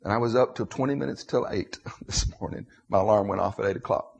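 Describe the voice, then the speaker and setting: An American man in his fifties with a husky voice, preaching an emotional sermon, dramatically swinging between hushed confession and loud cries. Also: fairly low pitch, fast talker. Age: 50s.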